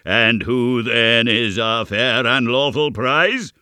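A man voicing a stern, mocking old goblin in a storybook reading, deep and deliberate, with sneering questions. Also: animated, creaky, bright, forceful tone, dry recording, clean studio sound, heavily acted. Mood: gleeful, taunting.

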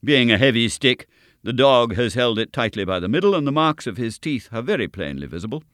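A man voicing a brisk, confident male detective who speaks in a precise, declamatory way in an audiobook reading. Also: medium pitch, big pitch swings, a bit bright, no echo, studio quality.

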